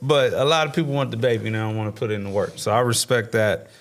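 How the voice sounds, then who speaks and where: A man's voice: lowish voice, quick pace. A man hosting a podcast interview, chatting casually and laughing along. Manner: relaxed, laughs along, affirming. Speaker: podcast host.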